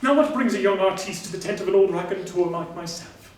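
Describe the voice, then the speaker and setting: A man's voice: sits high, fairly quick, slight background noise, close to an everyday voice. A man playing a sly, grandiloquent old carnival doctor in a stage musical comedy, with flowery wording. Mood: flamboyant, charming. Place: live staged reading before audience. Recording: echoey stage, distant mic, faint music.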